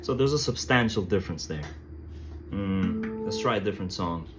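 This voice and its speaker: A man with a low voice giving a casual, thoughtful demonstration on a phone vlog, talking slowly with soft pauses. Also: lively intonation, loud music behind the voice. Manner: slow, thoughtful, reflective talk with soft fillers. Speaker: musician reviewing handpan drums. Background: tonal drum playing.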